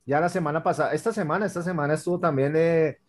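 Friendly radio host, talking fast. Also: male voice, a little high. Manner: friendly, rambling, calls guest "hermano". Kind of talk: casual interview.

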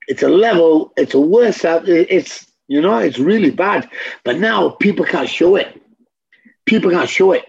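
Blunt man, gravelly voice. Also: on the high side, dull audio. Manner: blunt, profane, emphatic repetition. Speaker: interview guest. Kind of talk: candid conversation.

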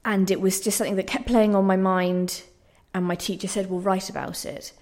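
A woman's voice: medium pitch, little pitch movement, fairly quick, a little mellow. A young woman giving reflective interview answers in a hesitant, self-deprecating, thoughtful way. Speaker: playwright being interviewed.

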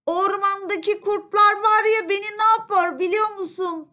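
A woman voicing a small, excitable, dramatic mouse in a children's fairy tale. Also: very high voice, moderate pace, pitched above the performer's own voice. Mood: indignant. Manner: dramatic, protesting, exclaiming. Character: small timid mouse, turned cat, dog, wolf, excitable.

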